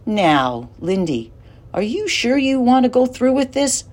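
A woman's voice: on the high side, sing-song, unhurried, darkish tone, voice pushed up. Woman doing a wary, pushy boy. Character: wary boy, protective and nervous.

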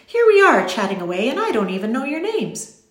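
A woman voicing a whimsical, courteous little gentleman in a storybook, with genteel, exclamatory, formal phrasing. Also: high-pitched, sing-song, fairly quick, echoey room, voice pushed up. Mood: whimsical, genial. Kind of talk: audiobook character voice.

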